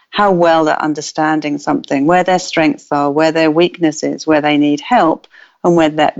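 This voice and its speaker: A woman researcher giving a measured, thoughtful explanation in an interview. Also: sits low, creaky. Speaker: researcher guest. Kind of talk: interview answer. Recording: dull lo-fi audio.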